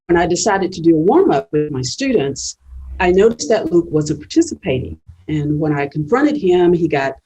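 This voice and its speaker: An actress reading a young woman teacher, guarded and quick to anger, with a husky voice. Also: low-pitched, dark tone, low-quality recording. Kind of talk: table read.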